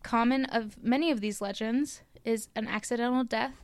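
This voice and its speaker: A woman's voice: a little high, moderate pace, darkish tone, no echo. Casual podcast co-host, woman, from Canada, loose rambling storyteller. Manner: chatty, lots of likes and ums, laughs at own stumbles. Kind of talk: casual chat, telling legends.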